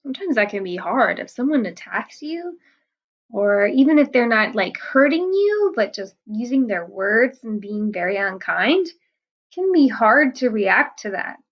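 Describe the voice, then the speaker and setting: A woman teacher reading a picture book aloud to children, gently explaining words and asking them questions. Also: on the high side, very animated, measured pace, muffled recording.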